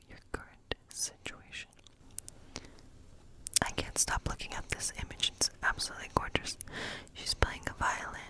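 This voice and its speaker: Whispering woman in a witchy ASMR tarot role-play. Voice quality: breathy whisper. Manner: unhurried, musing, reassuring. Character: friendly woodland witch reading tarot, gentle and curious.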